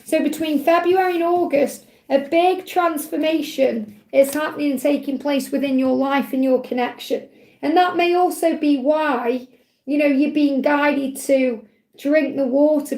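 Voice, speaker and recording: A woman, a tarot reader hosting a live Q&A, talking in a chatty, reassuring way while her voice grows croaky. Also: high voice, fairly even intonation, measured pace, dark tone, echoey room. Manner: chatty, reassuring, repeats phrases, ends points with "okay?". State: voice getting croaky. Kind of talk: live-stream tarot reading, reading out chat messages.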